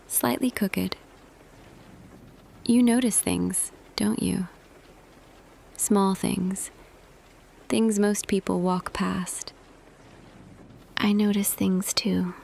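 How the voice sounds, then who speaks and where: Woman acting a slow, hushed, intimate lady boss. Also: fairly low pitch, slight background noise, heavily acted. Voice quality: soft, breathy, often half-whispered. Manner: slow, hushed, deliberate, pausing. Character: tender female office boss, intimate, quietly smitten, teasing. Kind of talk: ASMR roleplay audio, intimate scripted monologue.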